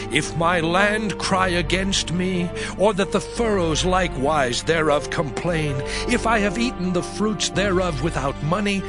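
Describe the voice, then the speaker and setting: A man playing Job, high and pleading. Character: Job, suffering righteous man pleading his case. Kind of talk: dramatized scripture reading. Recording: music bed behind voice.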